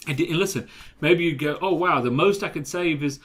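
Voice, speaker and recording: A man's voice: on the high side, normal speed, fairly bright tone. A man giving a motivational talk as a coach, emphatic, repeating key phrases and asking the listener direct questions. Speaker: life and business coach.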